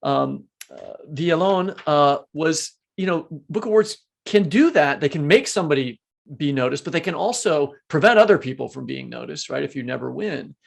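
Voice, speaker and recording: A man's voice: a little high, expressive, moderate pace, vocal fry. A man co-hosting a conversational literary interview, earnest and discursive, often correcting himself as he goes. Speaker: podcast co-host.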